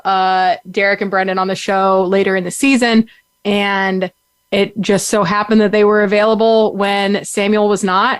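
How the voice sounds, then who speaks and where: A woman hosting a podcast in a loose, chatty way that keeps circling back with fillers and restarts. Her voice sits at a middle pitch and is recorded close and dry, with no room sound around it. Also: clear, resonant tone, no room sound. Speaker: podcast host. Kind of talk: casual co-host chat.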